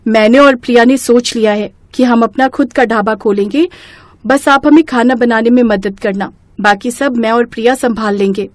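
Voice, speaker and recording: A woman's voice: on the high side, fairly even intonation, moderate pace, no echo, lightly acted. A woman voicing a caring, polite young girl in an earnest, reassuring storybook manner. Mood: reassuring, eager. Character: young twin granddaughters, eager, caring, polite little girls. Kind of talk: storybook character acting.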